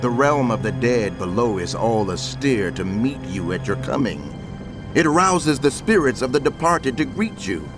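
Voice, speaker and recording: An actor playing a prophet narrator, solemn and declamatory, in dramatic scripture narration. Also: male voice, medium pitch, animated, moderate pace, close to an everyday voice. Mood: solemn declaiming. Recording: music under the voice.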